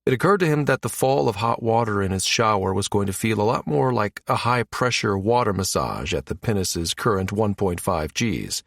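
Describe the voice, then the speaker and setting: A man's voice: on the low side, lively intonation, normal speed, vocal fry, hardly any pauses, no echo, clean studio sound. A man reading a science fiction audiobook as scripted narration.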